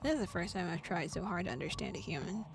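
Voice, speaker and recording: A young adult woman streaming, narrating a visual novel aloud and breaking into excited, laughing reactions. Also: fairly low pitch, fast, vocal fry. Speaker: VTuber streamer.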